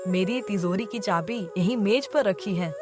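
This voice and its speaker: A composed, well-to-do wife from a cartoon moral tale voiced by a woman. She instructs in a matter-of-fact way at an average pitch, over clearly audible music. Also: unhurried, close to an everyday voice. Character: composed wealthy merchant's wife instructing her servant. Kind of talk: Hindi cartoon story dialogue. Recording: prominent background music over voice.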